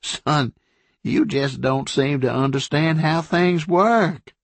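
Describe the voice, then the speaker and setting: A patronizing small-county sheriff, as a male narrator puts him on, drawling slowly in a lazy Southern accent. His voice sits higher than the narrator's and has a changed tone, and it stays condescending throughout this audiobook reading. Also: gravelly, no room sound, exaggerated voice. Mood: smug patronizing.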